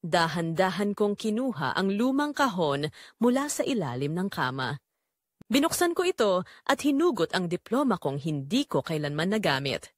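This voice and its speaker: A woman's voice: fairly low pitch, big pitch swings, normal speed, dry recording. Lady playing worn out neglected mom telling her sad story, pleading, very up and down voice, dry sound. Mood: wistful, grieving. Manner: emotional, sorrowful, pleading. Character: Marites, weary, neglected, long-suffering Filipino mother. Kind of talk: first-person dramatic audio story narration.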